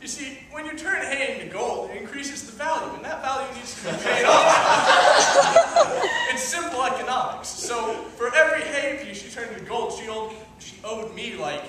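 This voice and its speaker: A man's voice: extremely high pitch, lively intonation, moderate pace. A man improvising on stage as a casual, scheming magical imp who explains things like an economist, chatty in manner, in an echoey room. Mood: mock lecturing. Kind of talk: improv comedy storytelling on stage. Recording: distant mic, echoey noisy room.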